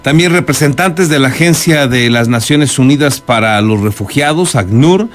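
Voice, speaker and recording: A man's voice: medium pitch, lively intonation, moderate pace. Mexican male news anchor over music. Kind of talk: radio news presenting with spoken commentary. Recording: music bed behind the voice. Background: background music.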